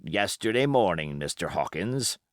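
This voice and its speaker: A sly old seafaring pirate played by a male reader, all cajoling sailor talk with menace under the geniality. The pitch swoops about freely and the pace is unhurried, as in a storybook told aloud. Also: very animated, no room sound, studio quality. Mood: boastful, wry. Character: wily old male sea-cook pirate captain, genial yet menacing. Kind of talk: audiobook character acting.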